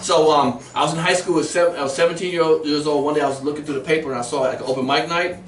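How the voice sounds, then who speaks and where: Fast-talking, profane comedian in a roomy studio. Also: male voice, on the high side, a little hiss or hum. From grew up in Germany, then Washington DC. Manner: animated, profane, quick storyteller. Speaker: comedian guest. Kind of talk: casual radio interview storytelling. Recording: roomy, distant mic with faint ambience.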